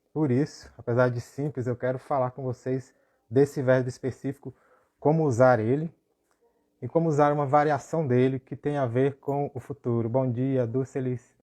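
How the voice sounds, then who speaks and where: Relaxed man teaching grammar. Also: medium pitch. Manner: relaxed, explaining, greets viewers by name. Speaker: Portuguese teacher. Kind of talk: live-streamed language lesson.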